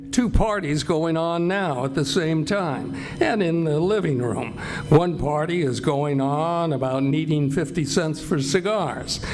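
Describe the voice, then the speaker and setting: A man's voice: a little high, a little mellow. A man working as an old-time radio announcer, reading scripted introductions and ads in a declamatory salesman's style.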